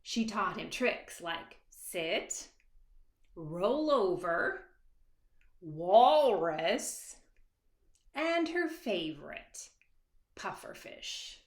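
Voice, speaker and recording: A woman author reading a children's picture book aloud expressively, with dramatic hushed moments and asides to young listeners. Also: medium pitch, big pitch swings. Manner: dramatic, dropping to hushed asides and stretched words; pauses to address young listeners with questions. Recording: echoey, reverberant room.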